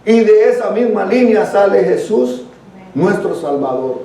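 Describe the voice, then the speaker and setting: Very echoey distant recording, mellow-voiced man, Guatemalan accent, Bible teacher, conversational and earnest. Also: high voice, lively intonation, unhurried. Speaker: Bible study leader. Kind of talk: church Bible teaching, reading and explaining scripture. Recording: very echoey room, distant microphone.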